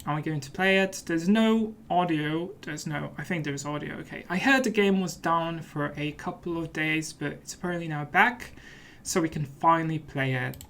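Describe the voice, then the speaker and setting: Higher-voiced man giving playful game commentary. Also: normal speed, creaky, a bit bright. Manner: playful, mock-serious. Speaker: let's play host. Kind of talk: casual gameplay commentary.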